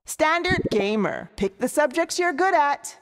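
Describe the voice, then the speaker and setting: A woman voicing a cheerful animated quiz show host, presenting in an encouraging, emphatic scripted style. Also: high voice, measured pace. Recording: reverberant hall with clear crowd noise.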